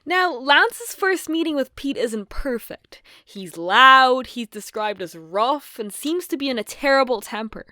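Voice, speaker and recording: A woman's voice: sits high, animated, unhurried, hardly any pauses, sharp tone, no echo, clean studio sound. A woman co-hosting a video essay and reading from a prepared script.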